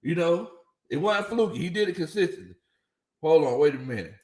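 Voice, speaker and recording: A man talking sports on a livestream, his fairly high voice a bit worn and tired. He keeps chuckling as he reads out chats, and the sound bounces around an echoey room. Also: animated, brisk, darkish tone. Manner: laughs often, chuckling through his lines. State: voice slightly worn out. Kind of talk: casual livestream commentary, reading viewer chats.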